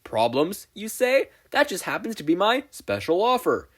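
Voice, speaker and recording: A tiny, manic puppet salesman hawks his deals in a high, loud voice. The pitch leaps around wildly as he pushes every offer like a pitchman. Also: wildly swooping pitch, moderate pace, full character voice. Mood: wheedling. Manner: hawking like a pitchman.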